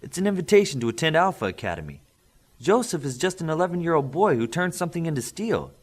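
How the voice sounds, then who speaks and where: A man's voice: on the high side, big pitch swings, fast talker, pitched above the performer's own voice. A man reading the part of a courteous, protective father with an emphatic, questioning manner in an audiobook. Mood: disbelieving.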